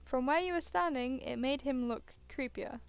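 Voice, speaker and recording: A woman with a fairly high, very smooth, clean voice reads a visual novel aloud as a streamer. She sounds tired and often interrupts herself with hesitant, casual comments. Music plays underneath. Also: moderate pace. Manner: hesitant, self-interrupting asides. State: tired, yawning. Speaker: gaming streamer.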